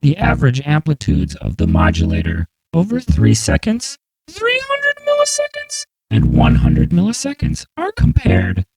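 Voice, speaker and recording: Vocoder-processed narrator, gravelly and buzzing, slow over-enunciated scripted explanation of electronic composition. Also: huge pitch swings, a little mellow, poor audio. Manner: slow, exaggerated, very proper enunciation. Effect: vocoder, randomly shifting synthesizer pitch and chords. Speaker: composer narrating own piece.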